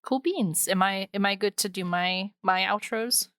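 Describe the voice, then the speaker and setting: Female podcast co-host, smooth clear voice, dry close sound, informal and jokey delivery of plugs. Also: medium pitch, moderate pace, a bit bright, no room sound. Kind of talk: casual podcast outro.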